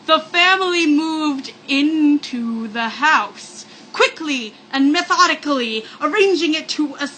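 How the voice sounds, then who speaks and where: A woman's voice: on the high side, pushed and bright, a little hiss or hum, poor audio. A woman reading a story aloud in a sarcastic, mock-dramatic comic manner, with joking asides. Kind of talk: comedic read-aloud with mock-spooky asides.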